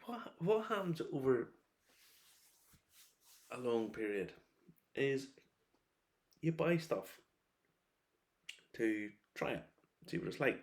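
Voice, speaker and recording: A middle-aged man giving a casual, rambling review, opinionated and wry, breaking into animated asides. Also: medium pitch, takes it slowly, pauses often. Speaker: whiskey reviewer.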